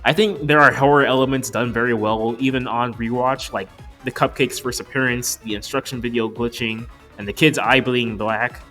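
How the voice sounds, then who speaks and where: A man in his twenties narrates an opinionated movie review. His pitch is average and his delivery is informal, with music playing behind him. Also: steady tone, moderate pace, pushed and bright. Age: 20s. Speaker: video reviewer.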